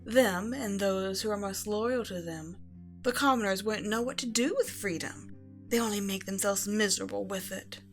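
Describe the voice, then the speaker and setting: A woman's voice: medium pitch, soft background music, lightly acted. A woman as a regal, scheming evil empress mother. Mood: haughty, indulgent. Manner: regal, self-righteous, measured storytelling. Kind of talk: bedtime story roleplay, ASMR audio.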